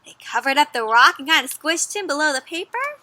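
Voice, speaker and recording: High, bright, animated woman storyteller. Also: dry recording. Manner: expressive, asks children questions, gasps and dramatic pauses. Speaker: storyteller reading to children. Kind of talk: animated children's read-aloud.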